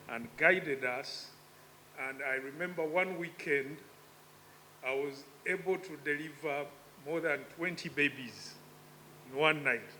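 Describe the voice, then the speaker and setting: A man in his fifties speaks from a conference podium with a slightly raised pitch, unhurried and reflective, lifting his voice to stress key points. His comes through clearly over a faint background hum. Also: pushed and bright, poor audio. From Uganda. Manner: measured, reflective, with emphatic rises. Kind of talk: conference speech. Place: conference hall.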